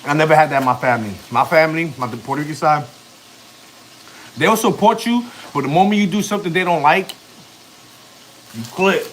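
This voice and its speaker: A man's voice: fairly high pitch, brisk, long pauses, fairly bright tone, a little hiss or hum, low-quality recording. A man in his thirties, a family vlogger, chatting casually on a live stream in slang, rambling and chatty. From United States. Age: 30s.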